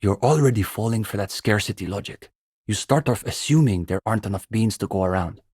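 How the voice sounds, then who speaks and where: Bookish young communist student, slightly husky. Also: male voice, on the low side, a little fast, a little mellow, no echo, studio quality. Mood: dryly disdainful. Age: young adult. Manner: intellectual, opinionated, dryly pedantic. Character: earnest, bookish young male communist student, smugly doctrinaire. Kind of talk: video game dialogue voice acting.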